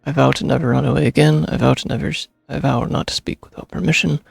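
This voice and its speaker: A man's voice: medium pitch, fairly even intonation, quick pace, creaky, breathy edge, no echo. A man streaming a video game, giving casual commentary and reading game text on a close microphone. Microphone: close streaming microphone, dry. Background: game music.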